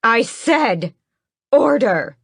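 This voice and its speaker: A woman's voice: medium pitch, big pitch swings, slow, no echo, pitched above the performer's own voice, full character voice. A woman voicing a haughty, ageless vampire queen who speaks in cold, mocking commands. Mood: commanding.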